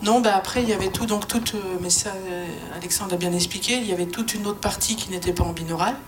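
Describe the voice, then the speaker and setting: A woman's voice: medium pitch, fairly even intonation, quick pace. A woman giving a spontaneous technical answer as a panelist, hesitant and fragmented. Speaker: panel participant. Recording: clear ambient background noise.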